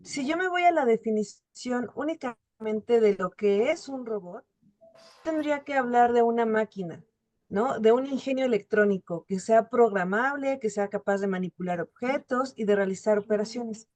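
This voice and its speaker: A woman gives a semi-formal analysis as an invited academic. Her voice is slightly high, and she explains step by step, checking in with short tag questions. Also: normal speed, a little mellow. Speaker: guest academic speaker. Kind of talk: spoken film analysis, semi-formal lecture.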